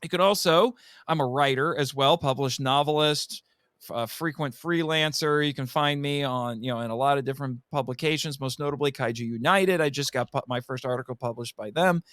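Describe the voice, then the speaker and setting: A man's voice: mid-range voice, fairly quick, a bit bright, no echo, studio quality. A man chatting casually as a podcast guest, telling things in a rambling, theatrical way.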